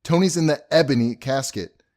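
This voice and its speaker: An actor playing an exasperated, serious young groom with an impatient, insistent manner in an audio drama. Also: male voice, medium pitch, normal speed, darkish tone, lightly acted. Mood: urgent, insistent.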